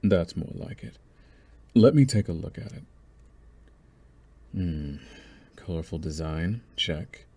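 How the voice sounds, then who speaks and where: A man's voice: low-pitched, slow pace, pauses often, dark tone, husky, full character voice. A man playing a young, possessive mafia boss in a scripted ASMR audio roleplay, taunting and condescending in a theatrical, menacing way. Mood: mocking amusement. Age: young adult.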